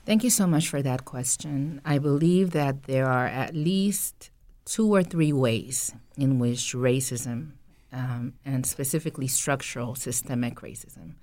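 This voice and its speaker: A middle-aged woman from Costa Rica, a public health dean, answering podcast interview questions in a measured, thoughtful way. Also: very deep voice, mellow.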